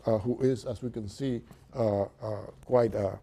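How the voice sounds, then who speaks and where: An older man with a Cuban accent giving a university lecture at a podium, halting often with fillers and restarts. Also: mid-range voice, steady tone, creaky, mellow, husky. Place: lecture hall in front of a chalkboard.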